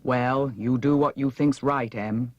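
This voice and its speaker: Old farmer uncle for audiobook, woman performing: gentle, uncertain, resigned, husky edge. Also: extremely low pitch, steady tone, a little slow, no echo, dull audio. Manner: hesitant, resigned.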